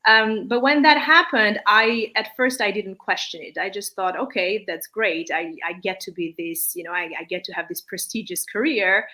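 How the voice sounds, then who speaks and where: Bright, forceful woman interviewee, English speaker. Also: medium pitch, moderate pace, hardly any pauses, rough recording. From born in Armenia, raised partly in Malaysia. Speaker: interview guest, English teacher. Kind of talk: conversational interview answers.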